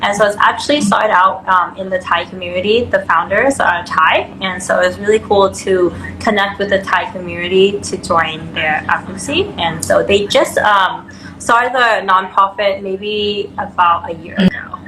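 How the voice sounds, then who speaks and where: A woman's voice: mid-range voice, moderate pace. A young woman with an American accent, chatting casually as an interview guest. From grew up in America. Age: young adult. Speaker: pageant contestant being interviewed. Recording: roomy echo, clear background noise.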